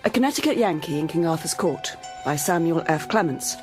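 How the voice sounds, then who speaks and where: An actress playing a caring, gently teasing hospital nurse in a horror radio drama. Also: female voice, low-pitched, expressive, fairly quick, music in the background. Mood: gentle.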